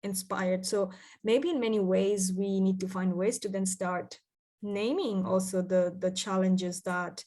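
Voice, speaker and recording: A woman's voice: medium pitch, fairly even intonation, a little slow, mellow. Woman, from Bangladesh, young adult, interview guest, hesitant, thoughtful, many fillers. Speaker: climate activist guest. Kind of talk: interview answers.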